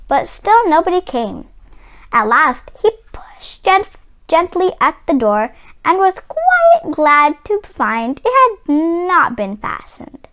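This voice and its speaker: Female storybook reader for children: high pitch, very animated melody, dramatic emphasis on key words. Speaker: children's read-aloud host. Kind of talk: expressive storybook read-aloud for children.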